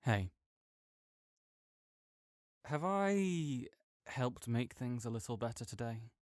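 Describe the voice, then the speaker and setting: A man's voice: medium pitch, lively intonation, slow, a little mellow, dry recording, studio quality. Playful, reassuring young man. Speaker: audio roleplay performer as a friend. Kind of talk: audio roleplay, comforting friend.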